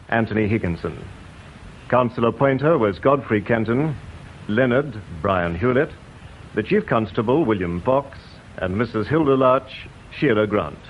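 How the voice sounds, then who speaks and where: A man with an English accent reading formal credits as a radio announcer. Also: mid-range voice. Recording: dull, hissy old radio recording.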